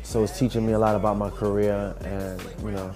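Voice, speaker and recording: A man's voice: lowish voice, brisk, music in the background. A young man, a rapper telling loose, rambling stories in a casual interview. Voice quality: smooth. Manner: loose, rambling, animated storytelling.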